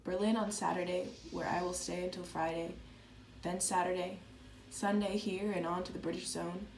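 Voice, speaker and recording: A woman playing an earnest, proud and candid letter writer in a dramatized reading, speaking reflectively and deliberately. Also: fairly low pitch, flat delivery. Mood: composed. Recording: echoey room with clear background noise.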